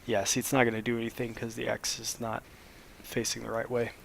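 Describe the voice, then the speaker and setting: A man, a little hoarse after a cold, casually explaining a modded game as he plays, with plenty of ums and restarts. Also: mid-range voice, fairly quick, a little hiss or hum. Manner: relaxed, rambling, lots of fillers. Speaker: Minecraft let's play host.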